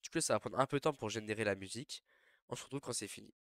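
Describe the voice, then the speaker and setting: Young guy w a cold, kinda low voice, rambly tutorial talk, very dry sound. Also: racing, creaky, no echo. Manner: relaxed, rambling with many fillers. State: stuffy from a cold. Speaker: tutorial host. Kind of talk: casual screen tutorial.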